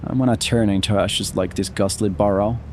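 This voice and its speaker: A man's voice: lowish voice, fairly even intonation, moderate pace, darkish tone, slight background noise, natural voice. A man acting a wary, gloomy paranormal investigator in an audio drama. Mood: grim alarm.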